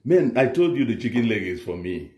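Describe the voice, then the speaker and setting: A man's voice: medium pitch, big pitch swings, brisk, darkish tone. A man filming his friend cooking and teasing him with a repeated catchphrase. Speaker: person filming, teasing the cook. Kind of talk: casual banter. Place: home kitchen indoors. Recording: roomy kitchen.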